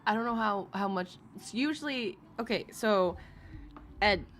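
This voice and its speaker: A woman's voice: mid-range voice, unhurried, a bit bright. A woman commenting casually to her live chat, rambling and laughing often, with asides to viewers. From Alaska. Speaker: commentary streamer.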